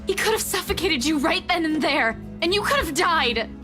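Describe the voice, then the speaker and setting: A woman's voice: very high-pitched, expressive, moderate pace. A woman playing a fiercely caring, frustrated young heroine in a horror game, emphatic and impassioned. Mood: angry, scared. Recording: music bed behind the voice.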